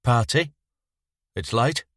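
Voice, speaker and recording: A man's voice: medium pitch, expressive, takes it slowly, fairly bright tone, dry recording, clean studio sound, pitched above the performer's own voice. A man reading aloud, voicing a menacing sixteen-year-old boy who is curt and swears. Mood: coaxing.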